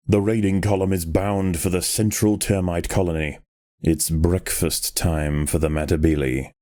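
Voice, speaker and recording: A man giving a scripted nature documentary narration for a video game in a measured, solemn and dramatic manner. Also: sits low, mellow, dry recording, studio quality.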